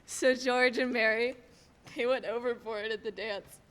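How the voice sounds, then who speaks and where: A woman's voice: fairly high pitch, steady tone, a little slow, natural voice. Woman as a curious helper, very noisy recording. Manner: inquisitive. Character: curious, naive woman asking her boss questions. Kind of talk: radio play acting.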